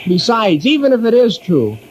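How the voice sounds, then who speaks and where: An actor playing an eager, curious young ranger with a boyish manner in an old time radio drama. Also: male voice, sits high, very animated, a little slow, a little mellow, muffled recording, slight background noise. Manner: inquisitive, boyish.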